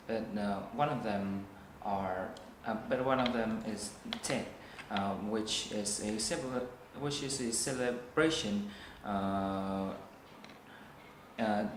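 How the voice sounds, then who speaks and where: A young man with a Vietnamese accent, a student taking a practice speaking test, answering slowly with many hesitations and restarts. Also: on the low side. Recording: echoey room, faint noise.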